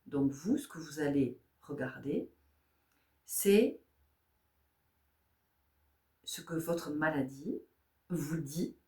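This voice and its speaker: A woman's voice: low-pitched, lively intonation, drawn-out words, pauses often, mellow, recorded from a distance. A woman giving a spiritual tarot guidance talk, asking rhetorical questions, repeating phrases and often checking in with her listeners. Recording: echoey room.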